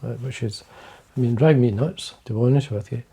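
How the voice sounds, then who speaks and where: Quiet, gravelly Scottish man, rambling. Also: medium pitch, a little fast. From Scotland. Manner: quiet, rambling, many fillers and restarts. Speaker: fly-fishing video host. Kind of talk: casual talk to camera. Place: indoors, fly-tying desk.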